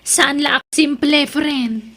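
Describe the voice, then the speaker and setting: A woman's voice: sits high, steady tone, slow pace, a bit bright, full character voice. A woman playing a boastful, gossipy young woman in an audio drama, haughty and belittling, with an Ilocano accent. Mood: snobbish. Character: boastful, gossipy young woman proud of her professional boyfriend.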